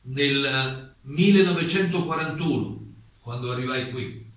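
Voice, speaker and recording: An actor playing an exiled German playwright testifying at a hearing, polite, formal and insistent, in a staged dramatic reading. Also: male voice, medium pitch, a little slow, a bit bright, close to an everyday voice. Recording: distant mic, very echoey room, faint noise.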